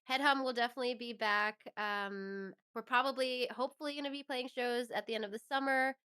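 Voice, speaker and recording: A woman's voice: a little high, steady tone, brisk, sharp tone, clear, resonant tone. A woman speaking as an interview guest in a casual, bubbly and informal way. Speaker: interview guest, voice performer and singer. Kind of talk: casual chat.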